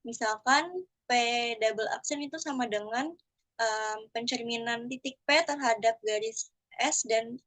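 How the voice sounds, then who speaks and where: A young woman from Indonesia presents a theorem to her class in a slightly higher voice. The sound is dry and close, and her delivery stays steady apart from occasional hums and restarts. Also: unhurried, dry recording. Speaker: student presenter.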